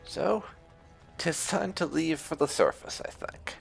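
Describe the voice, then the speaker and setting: A man giving casual, musing roleplay commentary over a video game, with a gravelly voice. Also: a little high, a little slow, faint music behind. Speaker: gaming video host.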